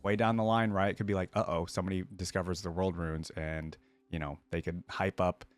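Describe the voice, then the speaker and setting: A man's voice: sits low, level tone, brisk, dry recording. A man giving casual commentary to viewers as a reaction host, thinking aloud and wandering off on digressions. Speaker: video creator, reaction host.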